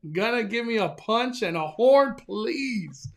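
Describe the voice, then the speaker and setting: Excitable young male livestream host. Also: extremely high pitch, takes it slowly, noisy background. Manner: excitable, enthusiastic, stumbling restarts. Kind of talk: casual live stream chat.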